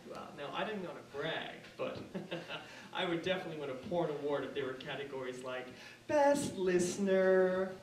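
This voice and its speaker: High-voiced male stand-up in a roomy club. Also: expressive, normal speed. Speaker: stand-up comedian. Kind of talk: stand-up comedy routine. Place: small dark comedy bar. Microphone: handheld stage microphone. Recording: roomy club sound, distant, audience noise. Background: club audience.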